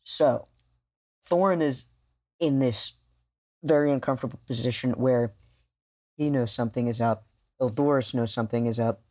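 A player narrating a tabletop role-playing game in halting, thoughtful, improvised phrases. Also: medium pitch, a little mellow.

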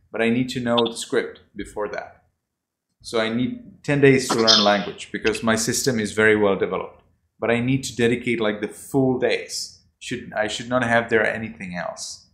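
Low-voiced man teaching over a video call. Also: moderate pace, a bit bright. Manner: rambling, repeats himself, uses "you know". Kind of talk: casual online class talk. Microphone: laptop mic on video call. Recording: echoey video call audio.

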